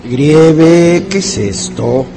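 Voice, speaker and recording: A man's voice: on the high side, expressive, drawn-out words, vocal fry, music in the background, heavily acted, rough recording. An actor playing a stern, indignant male schoolteacher in a radio drama, scolding with an authoritarian manner.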